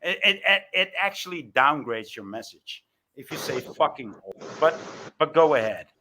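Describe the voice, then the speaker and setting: A man's voice: fairly high pitch, sing-song, moderate pace, background noise. A man who is not a native English speaker, arguing in a heated live stream, stammering and sarcastic. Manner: stammering, sarcastic, repeats words. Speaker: guest in a live stream debate.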